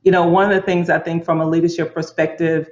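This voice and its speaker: Lady on a work panel, earnest and deliberate, echoey room, dull audio. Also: lowish voice, little pitch movement, a little mellow. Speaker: panelist, corporate leader. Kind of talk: reflective panel talk. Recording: roomy, muffled lo-fi audio.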